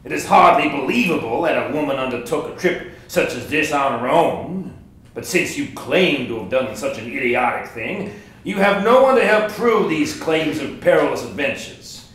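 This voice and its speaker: A man's voice: a little high, very animated, moderate pace, fairly bright tone. A man playing a pompous, wordy, accusatory newspaperman at a screenplay table reading. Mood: accusing, scornful. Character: pompous, blustering male rival newspaperman, large and rotund. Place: large echoey room, live table reading. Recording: echoey room recording.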